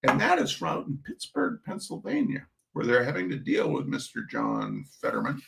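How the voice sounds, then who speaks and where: Animated, high-pitched man reading comedy. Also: moderate pace, creaky. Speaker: host reading humorous essays. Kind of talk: theatrical humorous reading, chatty asides.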